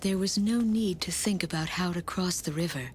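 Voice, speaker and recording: A woman acting a sorrowful, determined mother in a war-torn land, narrating in a hushed, reflective way for a game. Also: on the low side, normal speed, slight background noise, natural voice. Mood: weary resolve. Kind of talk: scripted dramatic narration.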